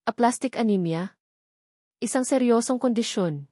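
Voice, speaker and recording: A woman's voice: a little high, lively intonation, moderate pace, mellow, dry recording, studio quality. A woman with a Filipino accent voicing a hurt, guarded doctor and mother in a dramatic story. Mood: cold, hurt.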